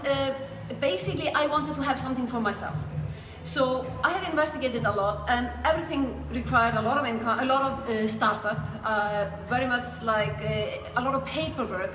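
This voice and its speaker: A middle-aged woman from Iceland with an Icelandic accent, telling their own story conversationally as an interview guest. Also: a little high. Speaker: network marketer being interviewed. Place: small indoor room. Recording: echoey room, clear background noise.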